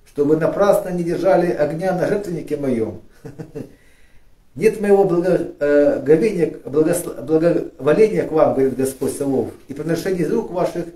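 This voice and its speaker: A middle-aged pastor from Ukraine preaches in a hesitant, restart-filled way that turns lifted and forceful when he reads scripture. His voice is mellow and dark. He sounds far from the mic in a roomy, echoing space. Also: fairly high pitch. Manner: halting, many fillers and restarts, rising emphatic when reading scripture. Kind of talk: sermon. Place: church studio with flags and lit cross. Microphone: far camera. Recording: echoey room, voice from a distance.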